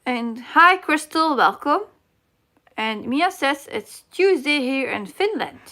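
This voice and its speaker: A woman's voice: high voice, animated, takes it slowly, sharp tone. A middle-aged woman with a Dutch accent hosting a casual live chat, reading comments aloud and searching for her English words. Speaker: cross-stitch live stream host.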